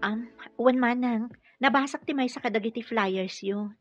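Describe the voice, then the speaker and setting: A woman's voice: on the high side, normal speed, dull audio, soft background music, natural voice. An actress playing an earnest, polite nurse and single mother in a radio drama, slightly hesitant and questioning, with a Filipino accent. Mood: hesitant, curious. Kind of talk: Ilocano radio drama acting.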